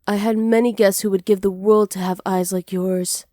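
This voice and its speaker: Soft, mellow, flirty witch acted by a woman. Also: mid-range voice, moderate pace, dry recording, clean studio sound, lightly acted. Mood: flattering, reassuring. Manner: soft-spoken, teasing, flattering, partly whispered. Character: flirty, hospitable witch potion seller, sly and self-assured. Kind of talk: ASMR roleplay, slow scripted acting.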